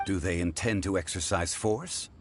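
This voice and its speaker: Dignified, learned gentleman for a game, male actor: low-pitched, grave, formal, measured delivery. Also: soft background music, close to an everyday voice. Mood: grave, pensive. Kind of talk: video game character voice acting.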